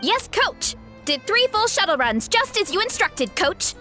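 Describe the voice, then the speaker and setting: A woman voicing a shy, sweet young athlete with a modest, eager, gentle manner in a video game, over music. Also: extremely high pitch, big pitch swings, a little slow, full character voice. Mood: modest, earnest. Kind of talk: game character dialogue.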